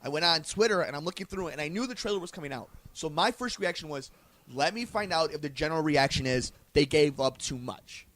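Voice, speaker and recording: A young man co-hosting a casual podcast chat, excitable, hedging and restarting his sentences. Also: on the high side, brisk. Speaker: podcast co-host.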